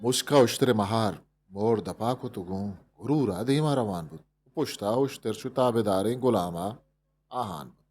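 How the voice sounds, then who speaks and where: A man with a Balochi accent reading a fable as a storyteller, in an expressive scripted narration. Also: lowish voice, measured pace, slightly rough. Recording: music behind the voice. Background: instrumental music.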